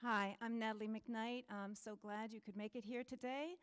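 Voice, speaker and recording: A woman's voice: on the high side, fairly even intonation, moderate pace, a little hiss or hum. A middle-aged woman from Pittsburgh, an associate dean and professor, introducing herself on a panel with a handheld mic in an auditorium, upbeat and friendly. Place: auditorium stage. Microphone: handheld microphone.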